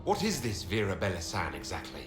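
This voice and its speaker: An actor playing a composed, inquisitive heroic leader in a fantasy game, with a dry manner. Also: male voice, sits low, wildly swooping pitch, a little fast. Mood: curious. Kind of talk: video game dialogue acting. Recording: echoey, music underneath.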